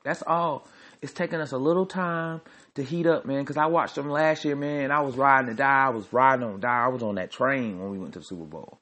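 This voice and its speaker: A man's voice: mid-range voice, fairly bright tone. A man hosting his own podcast, delivering a joking, repetitive sports rant. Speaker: podcast host.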